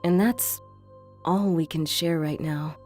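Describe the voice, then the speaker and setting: A woman acting as an easygoing, quick-witted and compassionate police detective in an audio crime drama. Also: low-pitched, steady tone, darkish tone, soft background music. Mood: sympathetic.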